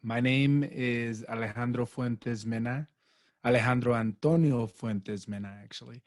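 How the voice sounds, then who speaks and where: A man's voice: medium pitch, unhurried, no echo, studio quality. A man, raised in California, introducing himself casually as a guest educator. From born in Chile, raised in San Diego, California.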